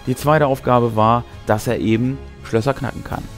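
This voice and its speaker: A man's voice: mid-range voice, fairly even intonation, normal speed. A man in his thirties, a game master giving explanatory commentary on a tabletop game to camera. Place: small indoor room with brick wall. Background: music.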